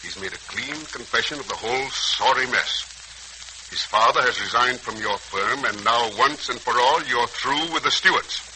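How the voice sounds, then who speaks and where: A man's voice: medium pitch, normal speed, bright, forceful tone. A man acting a protective, plain-speaking uncle in a 1940s radio drama, with a righteous, steadying manner. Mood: indignant, firm. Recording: faintly noisy vintage radio recording.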